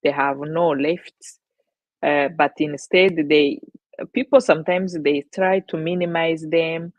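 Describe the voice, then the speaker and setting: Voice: medium pitch, fairly even intonation, unhurried, dry recording. Reflective host with a Rwandan accent, dull dry sound. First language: Kinyarwanda. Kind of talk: casual discussion.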